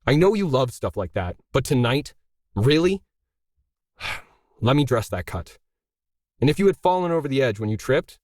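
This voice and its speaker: A man's voice: medium pitch, very animated, normal speed, long pauses, darkish tone, no echo, clean studio sound, voice pushed up, lightly acted. A man voicing a worried, irritable male artist who scolds and complains in an audiobook story. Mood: scolding.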